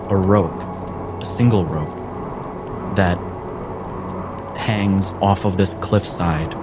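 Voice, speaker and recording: A low-voiced man acts as game master, setting scenes slowly and dramatically. He leaves deliberate pauses between phrases, and music plays clearly underneath. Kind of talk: slow, atmospheric improvised narration of a tabletop game.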